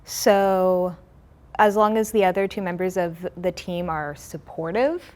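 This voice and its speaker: A woman's voice: fairly low pitch, a little slow, a little mellow. A young woman who co-creates and writes a comic, explaining her process in an interview.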